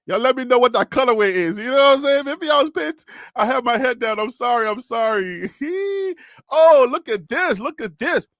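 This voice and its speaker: A man's voice: extremely high pitch, animated, moderate pace, a bit bright, no echo, clean studio sound. A man with a Liberian accent hosting a solo sports livestream, joking and repeating himself as he talks to his chat. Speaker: sports show host.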